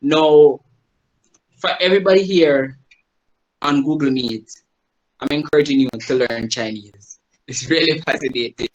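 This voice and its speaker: A man's voice: fairly high pitch, rough recording. Jamaican man presenting on a video call. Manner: explanatory, asks the audience "right?" often. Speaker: guest presenter, Chinese teacher. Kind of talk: online presentation to a group.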